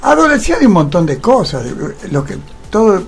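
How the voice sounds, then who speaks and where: A man's voice: high voice, very animated, a little fast, creaky, music in the background. An Argentine man, an actor interviewed on radio, explaining conversationally with rhetorical questions and tags. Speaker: interview guest, stage actor.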